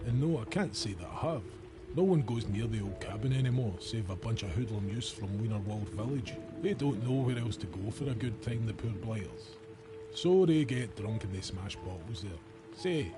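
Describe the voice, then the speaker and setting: A man's voice: lowish voice, natural voice. A man playing an old, folksy and evasive estate gamekeeper in a video game, speaking in a wry, rustic storytelling manner. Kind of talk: scripted video game character dialogue.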